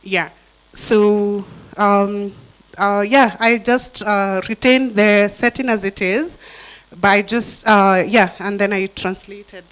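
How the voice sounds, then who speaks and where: A woman's voice: medium pitch, fairly even intonation, slow pace, fairly bright tone, clear, resonant tone, poor audio. A woman workshop participant talking about her translation on a video call, hesitant, with many fillers. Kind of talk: casual discussion on a video call.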